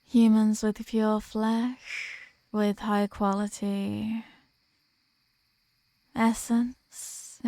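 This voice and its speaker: Elder vampire woman , voiced by an actress: aristocratic, possessive, teasing. Unhurried delivery, close dry sound, ASMR roleplay. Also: mid-range voice, little pitch movement, warm tone, no echo. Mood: seductive, selective. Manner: haughty, teasing, formal and deliberate diction. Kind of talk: ASMR roleplay audio drama.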